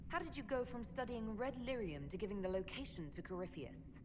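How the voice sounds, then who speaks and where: Woman playing a brisk, no-nonsense fantasy leader. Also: mid-range voice, big pitch swings, natural voice. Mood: probing. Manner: direct, probing. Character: firm, no-nonsense female leader questioning her companions. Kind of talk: video game voice acting. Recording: reverberant hall, clear background noise.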